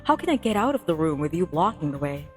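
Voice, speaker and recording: A woman reading the part of a tired, reluctant student narrator in a visual novel, measured and mildly exasperated. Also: low voice, very animated, soft background music, voice pushed down. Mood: weary, exasperated.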